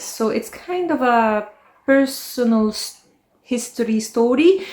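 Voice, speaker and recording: A woman in her forties from Egypt, hosting a chatty, personal vlog about her life in fragrances. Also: a little high. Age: 40s. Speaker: fragrance channel host. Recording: echoey room.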